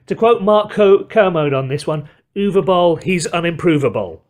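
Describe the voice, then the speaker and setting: A man's voice: high voice, animated, moderate pace, a little mellow. A man co-hosting a podcast, chatting about films with wordy, theatrical phrasing. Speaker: podcast co-host. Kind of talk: casual film discussion.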